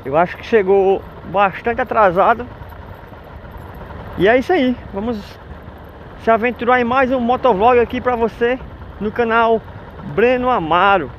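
High-voiced chatty motovlogger. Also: male voice, unhurried, pauses often. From Brazil. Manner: chatty, upbeat, joking. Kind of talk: casual vlog narration while riding. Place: outdoors on city streets by motorcycle. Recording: dull lo-fi with faint street noise.

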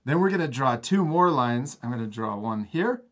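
Lively man teaching a drawing lesson. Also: medium pitch, normal speed. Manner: encouraging, casual. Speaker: art teacher and host. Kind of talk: step-by-step drawing lesson for children.

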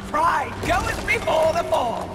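A woman's voice: very high-pitched, exaggerated voice. A woman voicing a scheming, envious female demon in a video game, gloating and grandiose as she speaks. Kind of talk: theatrical video game villain acting. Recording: echoey, under loud game music.

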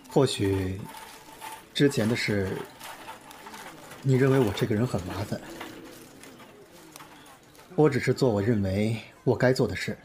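A man acting a haughty, demanding young celebrity in an audio drama, sharp-tongued and imperious. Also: lowish voice, slight background noise, close to an everyday voice. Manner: imperious, scoffing.

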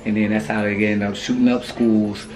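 Hoarse man vlogging to his phone. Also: lowish voice, level tone, fairly quick, a little mellow, faint music behind, poor audio. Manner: loose, slangy, repeats "you feel me". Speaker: family vlogger. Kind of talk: casual vlog talk to camera. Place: apartment hallway indoors, then parked car. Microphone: handheld phone camera at arm's length.

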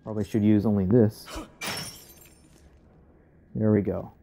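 A man's voice: fairly low pitch, flat delivery, unhurried, warm tone, dull audio. A man casually commenting on a horror game as he plays it, thinking aloud in murmured asides. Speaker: gaming channel host. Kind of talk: casual let's play commentary.

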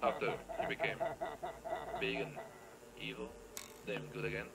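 A man's voice: fairly low pitch, little pitch movement, normal speed, vocal fry, over a noisy crowd. A man giving casual let's play commentary, rambling playfully and thinking aloud as he plays. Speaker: gameplay video creator.

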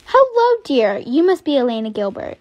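A woman voicing a brisk, polite film director giving instructions. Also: high voice, big pitch swings, darkish tone, no echo, lo-fi sound. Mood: businesslike.

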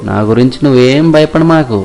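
A man voicing a hardworking, plain-spoken young village fisherman in an animated moral story. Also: mid-range voice, fairly quick, soft background music, lightly acted. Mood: reassuring. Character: hardworking, stubborn but kind young fisherman.

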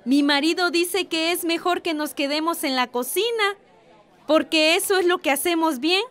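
A woman's voice: very high voice, moderate pace, a bit bright, some chatter behind. An actress with a Mexican accent playing a concerned, cautious village mother in a radio drama. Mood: matter-of-fact.

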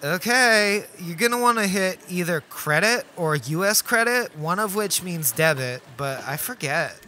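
An actor playing a relentlessly chirpy, pedantic cashier in a comedy radio play, rattling through scripted prompts. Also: male voice, sits high, animated, a little slow, pushed and bright, a little hiss or hum, full character voice. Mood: polite, passive-aggressive.

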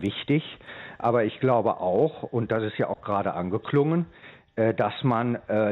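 A man giving a live news interview as an official and eyewitness, speaking haltingly with frequent pauses. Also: lowish voice. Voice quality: hesitant delivery, frequent pauses. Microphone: telephone. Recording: tinny narrow-band telephone line.

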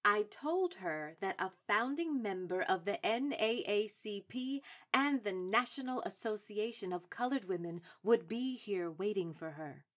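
A woman's voice: medium pitch, animated, a little slow, hardly any pauses, a bit bright. Authoritative suffragette elder stateswoman. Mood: composed, resolute. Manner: formal, declarative, authoritative. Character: seasoned, proud, shrewd woman suffrage activist of the 1910s. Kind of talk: stage-trained radio drama acting.

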